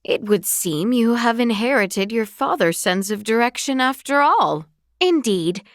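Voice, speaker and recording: A woman's voice: fairly high pitch, lively intonation, unhurried, no echo, studio quality. A woman voicing a gracious, earnest young royal lady in a fantasy video game, speaking in formal, courtly, old-fashioned phrasing. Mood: courteous, concerned. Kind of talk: scripted video game voice acting.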